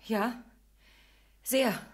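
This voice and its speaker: An actress playing an anxious, devoted young woman in a radio drama, breathy and hushed, answering hesitantly. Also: fairly high pitch, steady tone, drawn-out words. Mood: intimidated. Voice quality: breathy, whispery. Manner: hushed, hesitant, brief replies.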